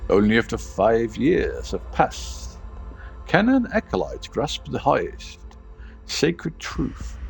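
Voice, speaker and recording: Man as a ceremonious, slow-talking religious brother. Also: lowish voice, vocal fry, full character voice, poor audio. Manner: formal, ceremonious. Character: dogmatic male brother guarding a ship's middle deck.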